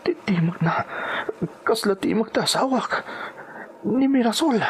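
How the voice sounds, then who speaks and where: A man's voice: sits high, expressive, measured pace, slight background noise. A man with an Ilocano accent acting in a radio drama as an earnest surgeon searching for his missing wife. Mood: shocked, concerned. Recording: radio drama with music underneath.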